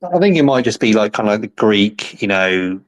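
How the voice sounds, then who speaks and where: A man's voice: lowish voice, big pitch swings, brisk. A man hosting a casual interview, playful and teasing his guest. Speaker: interviewer host.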